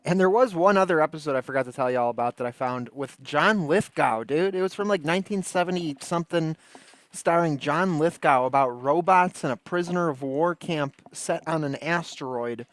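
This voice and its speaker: A man's voice: a little high, moderate pace, no echo. Casual man, close dry livestream. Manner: laid-back, rambling, slangy. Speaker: livestream host. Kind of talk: casual chat commentary.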